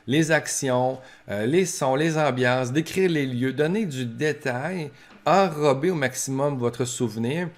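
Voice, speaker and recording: A man with a Québécois French accent giving an informal instructional talk as a professor, explaining in colloquial, practical language. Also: medium pitch. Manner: explanatory, colloquial, acts out short examples. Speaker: professor giving advice to family caregivers.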